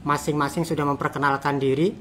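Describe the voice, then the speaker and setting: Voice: mid-range voice, flat delivery, fast talker, a bit bright, a little hiss or hum. An interviewer hosting a conversational chat, often repeating and affirming what guests say. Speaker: interviewer, host.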